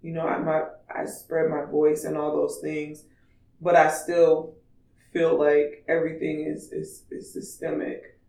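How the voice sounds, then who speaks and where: Raspy, higher-pitched man in an echoey room. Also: completely flat delivery, unhurried, a little mellow. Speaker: podcast co-host. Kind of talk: casual opinionated podcast chat. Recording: distant mic in echoey room.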